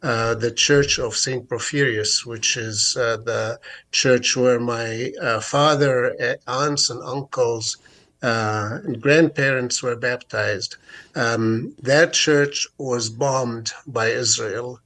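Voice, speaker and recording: A man's voice: mid-range voice, fairly even intonation, takes it slowly, fairly bright tone. A middle-aged man from Jerusalem, a guest on a radio interview, speaking with many fillers and restarts. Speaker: guest activist.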